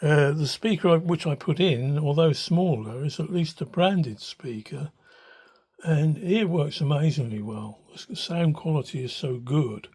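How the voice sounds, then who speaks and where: A man's voice: on the high side, measured pace, darkish tone, husky. An older man giving an informal, unscripted demonstration as a hobbyist, in a hesitant, thoughtful manner. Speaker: hobbyist radio restorer. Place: small cluttered room indoors. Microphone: handheld phone behind the camera.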